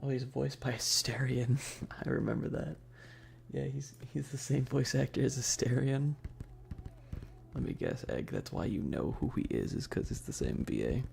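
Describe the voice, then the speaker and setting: A man's voice: medium pitch, expressive, moderate pace, gravelly, a little mellow. A man chatting casually as a livestream host, bantering with viewers in a slangy, joking way. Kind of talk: casual livestream chat, ASMR streamer.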